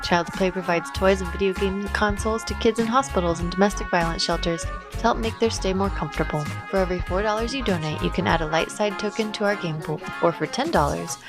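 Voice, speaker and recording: A woman's voice: medium pitch, fast, hardly any pauses, over music. A woman reading a scripted podcast outro as its announcer.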